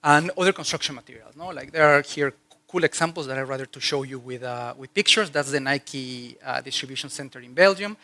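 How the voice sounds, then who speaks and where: A man with a Mexican Spanish accent addresses a conference audience in a loose, chatty way. His pitch sits in the middle for a man. He often pauses on "uh" and restarts his sentences as he goes. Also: pushed and bright, no room sound. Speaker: conference speaker. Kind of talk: business conference talk. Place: conference hall.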